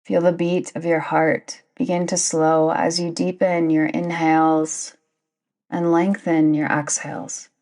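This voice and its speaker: A woman guiding a yoga nidra meditation in a soothing, deliberate manner, with long pauses between phrases. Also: low-pitched, level tone, a little mellow. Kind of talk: guided meditation narration.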